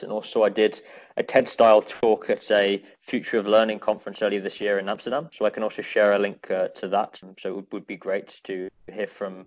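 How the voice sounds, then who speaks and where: A young man with a British accent answers interview questions in measured, earnest phrases. His voice sits a little low. The audio is slightly dull and lo-fi. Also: vocal fry. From United Kingdom. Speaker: podcast guest, innovation consultant.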